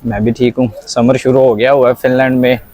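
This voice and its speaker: A man's voice: medium pitch, little pitch movement, a little fast, darkish tone, no room sound. A young Pakistani man with a Punjabi accent hosting a casual conversational vlog, mixing Punjabi and English words into his talk. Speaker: vlog host.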